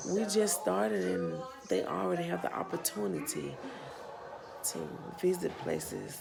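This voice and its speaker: A woman in her thirties with a Southern US accent, speaking earnestly and haltingly as a parent who runs a nonprofit, her voice a bit worn. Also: low voice, sing-song, gravelly. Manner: slow, earnest, halting with pauses and restarts. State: losing her voice. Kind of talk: personal vlog testimony.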